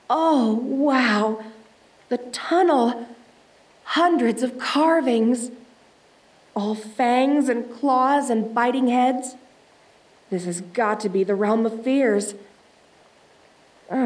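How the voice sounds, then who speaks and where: A woman's voice: fairly high pitch, a little mellow. An actress playing a determined young woman treasure hunter in an audio drama, talking to herself in a dramatic way. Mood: awed, curious. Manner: breathy exclamations, self-coaxing, dramatic. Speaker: audio roleplay voice actor.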